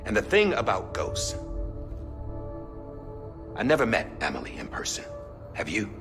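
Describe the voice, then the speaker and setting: An actor playing a shrewd masked director who speaks in a deliberate, folksy, conspiratorial way in a dramatic game cutscene. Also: male voice, on the low side, huge pitch swings. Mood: suspicious, probing. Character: shrewd masked director leaving a grave secret message. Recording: music bed under the voice.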